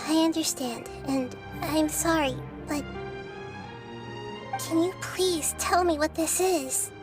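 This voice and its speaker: A woman playing a gentle, wise, childlike little deity in a video game, speaking in a measured, thoughtful way. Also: high-pitched. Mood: apologetic, earnest. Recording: music under the voice.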